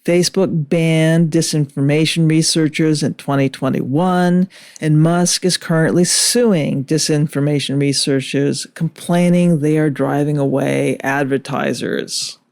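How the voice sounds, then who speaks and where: A woman delivering scripted political commentary in a measured, deliberate way with emphatic phrasing. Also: deep voice, steady tone, darkish tone. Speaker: video commentator.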